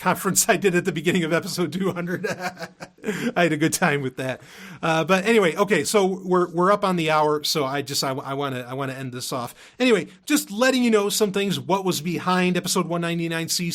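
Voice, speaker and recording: A man's voice: fairly high pitch, fairly bright tone. A man hosting a solo podcast, talking to his listeners in an informal, rambling, emphatic way. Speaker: podcast host.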